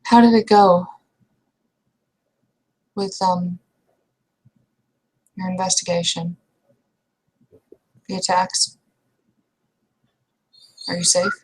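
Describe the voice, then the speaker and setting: A woman improvising in-character dialogue for a roleplaying session, speaking in a hesitant, halting way. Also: fairly low pitch, fairly even intonation, darkish tone, a little hiss or hum, low-quality recording.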